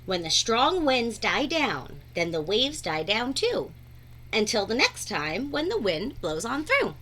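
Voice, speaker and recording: A woman reading a rhyming children's picture book aloud in an expressive, deliberate, sing-song way. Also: on the high side, slight background noise. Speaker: read-aloud storyteller.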